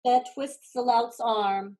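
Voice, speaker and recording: Female narrator for stage directions, slightly high voice, scripted play-reading delivery. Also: slow, a little mellow.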